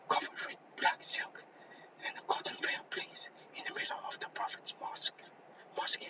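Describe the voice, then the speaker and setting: A man who has lost his voice, whispering a class presentation over slides in a halting way with many restarts. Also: recorded from a distance, lo-fi sound. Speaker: student presenter.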